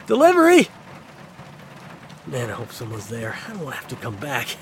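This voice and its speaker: A man's voice: medium pitch, huge pitch swings, normal speed, noisy background. A man playing a nervous, good-hearted young delivery guy in an audio drama, hesitant and stammering. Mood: nervous calling out. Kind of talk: naturalistic audio drama acting.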